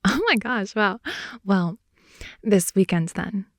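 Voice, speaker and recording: A young woman playing a playful, clingy girlfriend in an improvised ASMR roleplay, rambling softly and intimately close to the mic. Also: medium pitch, slow pace, no echo, natural voice. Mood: excited, affectionate. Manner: soft, intimate, rambling, giggly, often dropping to whisper. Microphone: close headphone-oriented ASMR mic.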